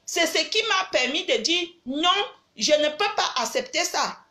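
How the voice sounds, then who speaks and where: A high-voiced older woman with a cold talks to her followers in a live stream. She scolds and lectures with firm emphasis, often repeating a point to drive it home. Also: lively intonation, unhurried, sharp tone. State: has a cold. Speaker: livestream host. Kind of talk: live video chat with followers.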